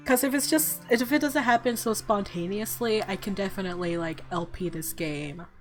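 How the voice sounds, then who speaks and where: A woman's voice: mid-range voice, normal speed, faint music behind. A woman giving casual let's play commentary on a game, reading bits aloud, with flustered, giggly reactions. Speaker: video game streamer.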